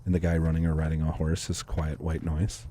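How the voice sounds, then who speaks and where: A man live-streaming a video game from home, talking to his chat in a soft, unhurried, calming voice and thanking viewers as they come in. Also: background noise. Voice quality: calming low voice. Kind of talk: casual live-stream chat while gaming. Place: home room.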